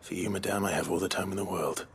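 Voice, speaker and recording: An actor playing a chivalrous, earnest warrior in a video game cutscene, speaking in a courteous, understated, sincere way. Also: male voice, deep voice, fairly even intonation, fast talker, gravelly, a little hiss or hum. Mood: gently probing. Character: chivalrous, earnest fantasy warrior man, quietly attentive.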